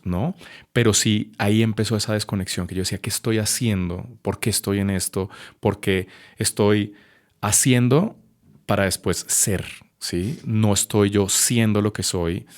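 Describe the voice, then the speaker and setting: A man, sharing his personal story as a podcast guest in a reflective, measured way with frequent hesitations. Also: fairly low pitch. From Colombia. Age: 30s. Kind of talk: personal testimony in a podcast conversation.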